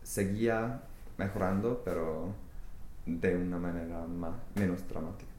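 A young man whose first language is English, answering interview questions with many pauses, fillers and restarts. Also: low-pitched, steady tone, a little mellow. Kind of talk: research interview. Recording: echoey room, faint hiss.

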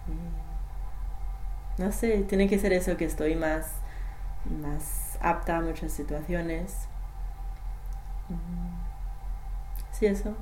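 A young woman whose first language is English, answering interview questions in halting speech with many fillers and restarts. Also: lowish voice, fairly even intonation, dark tone, soft background music. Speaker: language study participant. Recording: echoey room, faint noise.

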